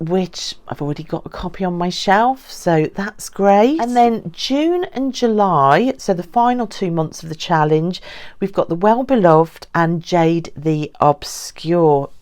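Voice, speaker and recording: Woman vlogger, bit on the lower side, chatty and gushing, casual show and tell to camera. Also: a little mellow. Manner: enthusiastic, gushing. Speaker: book vlogger. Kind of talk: casual book haul chat to viewers.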